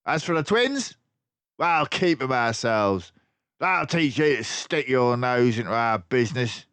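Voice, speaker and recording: A man voicing a menacing mouse gangster in a storybook, taunting in rough slang. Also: medium pitch, expressive, a little slow, fairly bright tone, no echo, pitched above the performer's own voice. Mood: jeering. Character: menacing, jeering male mouse gangster villain.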